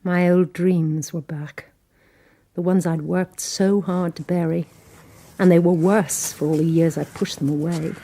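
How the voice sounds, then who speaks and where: An actress narrating as a haunted nun, speaking in a hushed, reflective way in a radio drama. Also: female voice, fairly low pitch, steady tone, measured pace.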